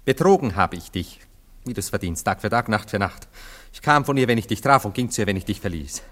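Excitable, jealous gentleman, swooping melody. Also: lowish voice, brisk. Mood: haughty, vengeful. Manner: excitable, mocking, sputtering with repeated words. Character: jealous, vain Viennese gentleman lover, agitated and boastful. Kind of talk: German radio play acting.

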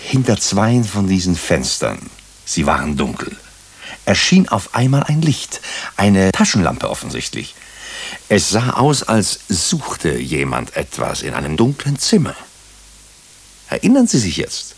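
An actor playing a wry doctor and amateur sleuth who also narrates a German radio drama, with a husky, gravelly voice and a dryly humorous manner. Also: male voice, low voice, wildly swooping pitch, measured pace, full character voice. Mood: intent, persuasive.

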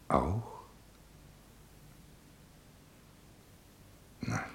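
An actor playing a distraught, brooding father in a German radio drama, halting and ruminating with bitter irony. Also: a bass voice, creaky, a bit bright, exaggerated voice. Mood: despairing, hushed. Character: distraught, brooding father awaiting news of his son. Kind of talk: German radio drama acting with inner monologue.

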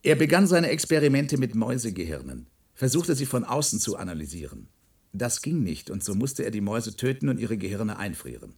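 An actor playing a self-assured, middle-aged scientist who explains in a measured, didactic way in a German science-fiction radio play. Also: male voice, lowish voice, sing-song, a little mellow. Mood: self-assured, explanatory. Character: self-assured male scientist, explanatory, slightly evasive project leader.

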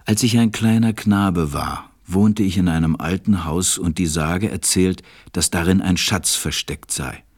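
A man acting a reflective, tender pilot who narrates a radio play in a measured, gentle way. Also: deep voice, husky. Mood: pensive. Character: reflective adult male pilot, tender storyteller.

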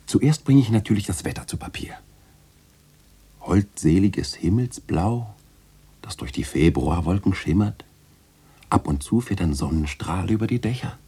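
A jovial, witty man of letters in a radio play. The voice is very low and husky, with big theatrical swings of melody. He speaks in florid, ironic phrases with a teasing edge. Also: expressive, measured pace, long pauses, dark tone, full character voice. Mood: musing, ironic. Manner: theatrical, chuckling irony with florid literary phrasing. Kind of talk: German radio play acting.

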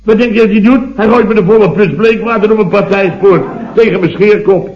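Casting: indignant fifty-something boss, long-suffering storyteller, highish male voice, old radio comedy with muffled sound. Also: fairly quick. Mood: outraged. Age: 50s. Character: blustering, exasperated fifty-year-old building contractor boss. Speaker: comic lead in a radio serial. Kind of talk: 1970s Dutch radio comedy acting. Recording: dull, roomy vintage radio recording.

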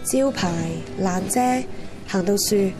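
A woman's voice: medium pitch, takes it slowly, lightly acted. An actress playing an impulsive, dreamy young woman in a Cantonese radio drama, with a Hong Kong accent. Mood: dreamy, exhilarated. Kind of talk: radio drama narration and dialogue. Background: music.

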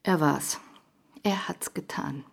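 An actress playing an indignant, suspicious journalist with a breathy, husky voice in a radio drama. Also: female voice, sits low, takes it slowly, noisy background, full character voice. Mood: suspicious, hushed. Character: indignant, suspicious woman journalist investigating a politician.

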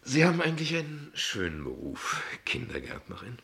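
A man's voice: medium pitch, huge pitch swings, moderate pace, creaky, sharp tone, husky, full character voice. An actor playing a thoughtful, courteous and wry father who philosophizes in a naturalistic radio play, speaking in a reflective, articulate, slightly hesitant way. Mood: wry, friendly.

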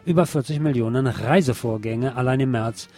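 A man's voice: medium pitch, fairly quick, darkish tone. A man presenting news items as a scripted magazine-style read. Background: music.

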